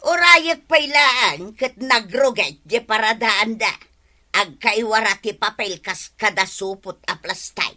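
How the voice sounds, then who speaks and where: A loud, bossy village woman with an Ilocano accent. Her high, piercing voice swoops about as she nags in stretched-out exclamations, in radio comedy style over music. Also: expressive, full character voice. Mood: defensive, complaining. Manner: scolding, exclaiming, drawn-out emphatic delivery. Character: bossy, loud, nagging village woman who scolds tricycle drivers.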